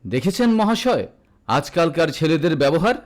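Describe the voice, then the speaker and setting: A man's voice: fairly high pitch, big pitch swings, moderate pace. A man voicing a proud, money-minded father of a groom in a story reading, stern and indignant.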